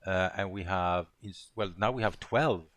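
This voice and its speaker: A man's voice: deep voice, measured pace, fairly bright tone, no echo. A man from Poland chatting casually during a pair-programming session, rambling and thinking aloud as he goes. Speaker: programmer co-hosting a live coding session.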